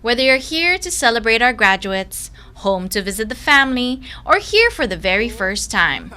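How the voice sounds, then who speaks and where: A woman's voice: on the high side, sing-song, normal speed, sharp tone. A woman narrating a news feature as a reporter, in an upbeat, friendly scripted delivery.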